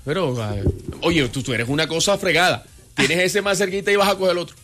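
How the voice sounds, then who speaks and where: A man's voice: on the high side, a little fast, bright, forceful tone, faint music behind. A man hosting a radio show, teasing his co-hosts and guest in casual chat. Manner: teasing, playful. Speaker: radio host.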